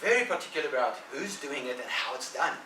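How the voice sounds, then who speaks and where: A man's voice: medium pitch, expressive, fast talker, recorded from a distance, noisy background, close to an everyday voice. A man voicing a sly young boy who talks up his chore, in an echoey room away from the mic. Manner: coy, boastful, sly. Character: sly boy tricking a friend into work.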